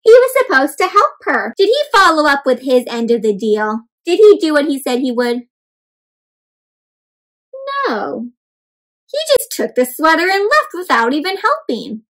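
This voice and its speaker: A high-pitched woman reads a picture book aloud for little kids. She is animated and expressive, pausing to question her young listeners and spell out what words mean. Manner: animated, asks questions and explains words to children; dramatic gasps and exclamations. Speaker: children's read-aloud storyteller.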